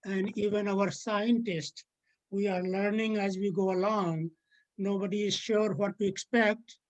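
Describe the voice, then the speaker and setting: A seventy-six-year-old woman giving measured personal answers in an interview. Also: mid-range voice, fairly even intonation, low-quality recording.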